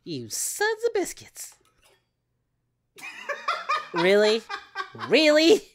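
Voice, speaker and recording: A man's voice: very high voice, wildly swooping pitch, drawn-out words, background noise, exaggerated voice. A man voicing a childlike cartoon hero, giggly and easily baffled, giving casual reaction commentary. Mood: amused. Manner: giggly, exclaiming. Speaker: reaction host.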